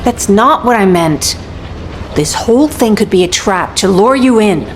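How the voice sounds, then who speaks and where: A woman's voice: mid-range voice, expressive, slight background noise, natural voice. An actress playing a protective, worried mother figure in a game cutscene, speaking in a hesitant, careful way. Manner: protective, hesitant, slow-spoken. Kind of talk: video game cutscene acting.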